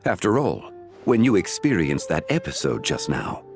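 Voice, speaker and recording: A man playing a calm, learned, courteous scholar of ancient lore in a video game, speaking in a formal, measured, eloquent way. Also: sits low, soft background music, exaggerated voice. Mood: composed, explanatory. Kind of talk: video game dialogue acting.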